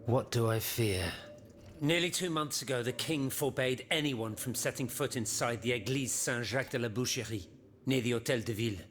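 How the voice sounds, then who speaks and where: A man voicing a grave, learned and devout inventor in a video game, solemn and deliberate. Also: mid-range voice, fairly bright tone, slight background noise, close to an everyday voice. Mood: foreboding. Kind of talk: video game cutscene acting.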